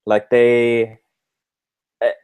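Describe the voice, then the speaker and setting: A man from the United States answering interview questions casually as a guest, in a halting, hesitant way. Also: medium pitch, completely flat delivery, darkish tone, smooth, no room sound. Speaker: interview guest, stand-up comedian. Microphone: internet call.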